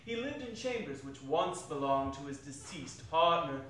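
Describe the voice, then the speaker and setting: A man's voice: a little high, animated, normal speed, a bit bright. A man narrating a classic story as a theatrical dramatic reading on stage. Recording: very echoey hall, distant mic, faint noise.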